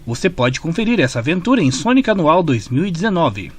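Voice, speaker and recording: A man's voice: fairly high pitch, very animated, brisk. A man with a Brazilian accent reading a scripted retelling of a comic story as narrator. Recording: music bed behind the voice. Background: clear background music.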